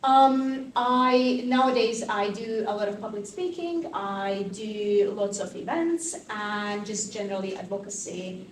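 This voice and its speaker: A woman's voice: mid-range voice, a little slow, hardly any pauses. Woman, 30s, from Croatia, activist interviewee, chatty and searching, off-mic with roomy echo and light hiss. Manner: animated, thinking aloud with many fillers. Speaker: interview guest, fashion activist. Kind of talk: conversational interview answers. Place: conference venue. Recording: distant mic in an echoey room with faint noise.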